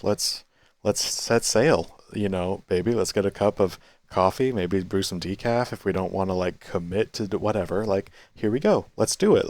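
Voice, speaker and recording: A man with a deep voice muses aloud in a casual vlog, circling back and correcting himself as he goes. The sound is dry and close, with little room around it. His pace stays loose and conversational. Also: a little mellow, dry recording. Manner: thinking aloud, many restarts and self-corrections; quits smoking, chews sunflower seeds. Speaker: vlogger. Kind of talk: rambling reflective monologue. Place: cafe.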